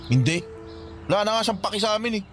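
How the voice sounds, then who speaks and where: A man's voice: high-pitched, animated, fast talker, music in the background. An actor playing a hurt, bitter and stubborn boy in a radio drama. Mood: angry, bitter. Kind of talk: Tagalog radio drama acting.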